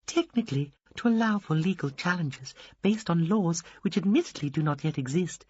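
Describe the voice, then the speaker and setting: A man voicing a small, excitable alien that is fussy and childlike. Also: a little high, normal speed, breathy edge, muffled recording, voice pushed up. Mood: eager. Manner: chirpy, pedantic.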